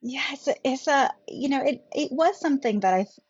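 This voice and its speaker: A middle-aged woman whose first language is German, speaking as a political scientist guest on a podcast in a thoughtful, measured way. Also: high-pitched, lively intonation, darkish tone, no room sound. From India. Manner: thoughtful, measured, often rephrasing. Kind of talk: podcast interview. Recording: dull lo-fi podcast audio.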